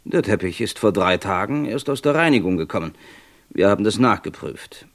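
Deep-voiced, stern police inspector. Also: male voice, expressive, moderate pace. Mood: pressing, confronting. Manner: formal, probing, pointed questioning. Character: persistent, stern British police inspector questioning a suspect. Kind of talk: German radio crime drama acting.

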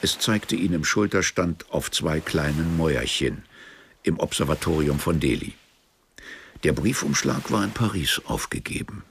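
Sober narrator, very deep, creaky, detached, radio play, faint background noise. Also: male voice, animated, normal speed, fairly bright tone, exaggerated voice. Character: detached, sober male storyteller.

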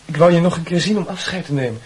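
An actor playing an earnest, hesitant young man in a Dutch radio play, with a husky voice. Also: a little high, racing. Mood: shy, halting.

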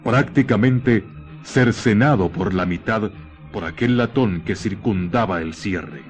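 A man narrating a scripted radio horror drama in a grave, dramatic manner with deliberate pauses. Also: lowish voice, over music.

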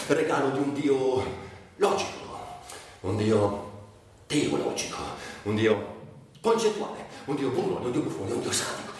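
A man's voice: fairly high pitch, close to an everyday voice. An actor playing a bitter, sardonic, tormented man confined by illness in a theatrical monologue, volatile between muttering and declaiming. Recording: very echoey, distant, faint noise.

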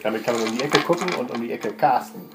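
A man's voice: mid-range voice, fast talker. A man chatting casually as a player in a tabletop role-playing game. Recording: echoey room with music underneath. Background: music.

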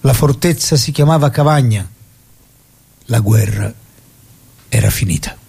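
An actor playing a solemn chronicler of the revolution, narrating in a declamatory, elegiac style. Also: male voice, medium pitch, a little slow, gravelly, very noisy. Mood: elegiac, epic. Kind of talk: dramatic scripted narration.

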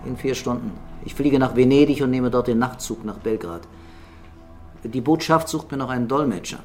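Radio crime play: male actor as a quietly commanding detective chief, medium pitch, curt and doubting, faint music behind. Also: moderate pace, natural voice. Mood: resolute. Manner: terse, probing. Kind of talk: German crime radio drama dialogue.

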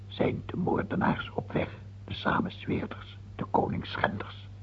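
A man playing a paranoid, capricious king in an old radio play, husky and creaky, repeating himself and swinging between wheedling and shouting. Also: unhurried, whispered, close to an everyday voice. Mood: wheedling, secretive. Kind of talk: old radio drama acting. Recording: muffled, phone-like old radio recording.

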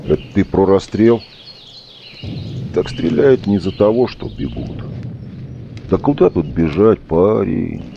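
An actor playing a middle-aged wartime soldier, fatherly, gruff and fatalistic, speaking in folksy plain words in an audio drama. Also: low-pitched, lively intonation, a little slow, pauses often, lo-fi sound, a little hiss or hum, heavily acted. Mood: grim, steadying. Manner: folksy, plain-spoken, steadying.

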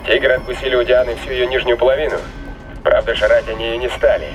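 A man's voice: medium pitch, steady tone, fast talker, soft background music, natural voice, poor audio. An actor playing a ruthless, sardonic man fighting aboard a spaceship in a sci-fi thriller audio drama. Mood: gloating. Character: ruthless gloating male spaceship fighter, triumphant, mocking.

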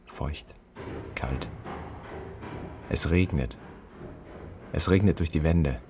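A man acting an anguished son haunted by his father's guilt, speaking in deliberate, poetic, questioning fragments in a radio drama. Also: rumbling low, slight background noise. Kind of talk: stylized German radio drama monologue.

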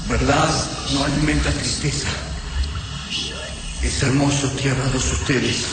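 An actor in a radio drama playing a wise, sorrowful, dying alien slave who speaks in broken, inverted sentences. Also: male voice, mid-range voice, steady tone, natural voice. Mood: resigned, dying. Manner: slow, broken alien syntax with inverted word order. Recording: echoey hall reverb under loud music.

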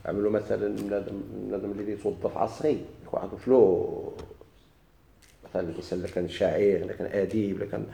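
A man with a Moroccan Arabic accent teaching Arabic grammar. He explains slowly, repeats and stretches key words, and puts questions to his students. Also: on the low side, level tone, vocal fry, dark tone, slight background noise. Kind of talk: recorded grammar lesson. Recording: echoey classroom recording.

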